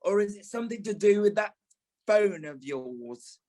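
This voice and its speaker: A man's voice: high voice, animated, moderate pace, dark tone. A man playing a flamboyant, blunt former ballet teacher with a British accent in an audio play, theatrical and tactless. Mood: frank, reassuring. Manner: theatrical, tactless, drawling, calls people darling and lovey.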